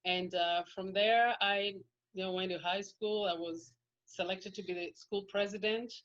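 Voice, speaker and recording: A woman's voice: mid-range voice, steady tone, measured pace, a bit bright, muffled recording. A middle-aged woman from Kenya with a Kenyan accent, whose first language is Kikuyu, talking through her life story as a guest in a casual interview, with many restarts.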